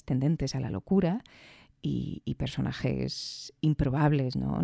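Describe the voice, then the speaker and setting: A woman's voice: sits low, vocal fry, dark tone, dry recording, studio quality. A woman hosting a podcast, giving reflective commentary with rhetorical questions and emphatic repetition. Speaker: podcast host. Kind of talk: reflective commentary on audio fiction.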